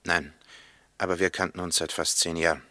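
An actor playing a matter-of-fact engineer giving witness testimony in a 1960s radio drama, concise and sober. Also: male voice, low-pitched, steady tone, a little fast, pushed and bright, no echo. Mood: sober, factual.

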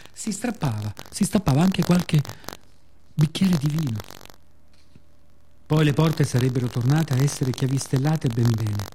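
A man delivers a scripted radio theatre monologue as a storyteller, in a measured, reflective, dramatic way with pauses. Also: fairly high pitch, mellow, slight background noise.

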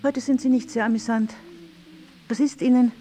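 A woman's voice: fairly high pitch, fairly even intonation, normal speed, warm tone, faint music behind, close to an everyday voice. An actress playing a blunt, reproachful lady in an acted literary miniature, chiding in articulate phrases. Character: reproachful, blunt Viennese lady companion of a gentleman.

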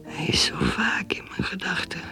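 An actress playing a troubled woman drinker in a radio drama, thinking aloud in halting, intense, breathy whispers. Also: over music, natural voice. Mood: haunted. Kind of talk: radio drama interior monologue.

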